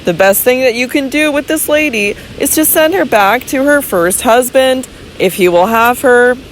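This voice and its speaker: A woman reading the part of a calm, deliberate male physician in an audiobook, quiet and measured. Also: high-pitched, slight background noise, close to an everyday voice, rough recording.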